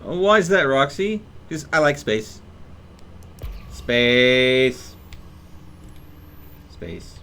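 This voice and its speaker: A man's voice: mid-range voice, very animated, very slow, long pauses, pushed and bright, clean tone. A man livestreaming a game with casual commentary, rambling and thinking aloud as he plays. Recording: faint noise behind voice.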